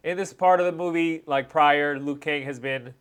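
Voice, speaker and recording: A man's voice: on the high side, fairly even intonation, normal speed, a bit bright, clean tone. A man hosting a reaction video, commenting on fight scenes in casual slang with hyped exclamations. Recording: music behind the voice.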